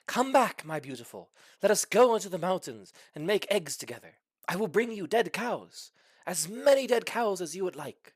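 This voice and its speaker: A man plays a young male dragon hopelessly in love, in a comic storybook style. The voice is raised high and pushed loud, full of ardent, melodramatic pleading. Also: big pitch swings, moderate pace, dry recording. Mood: adoring, wooing.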